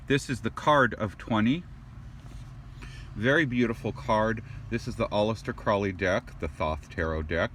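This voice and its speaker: A man's voice: lowish voice, a bit bright, a little hiss or hum. A man giving a casual tarot reading to his viewers. He rambles, repeats and restarts words, and exclaims at the cards as he turns them over. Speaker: tarot card reader.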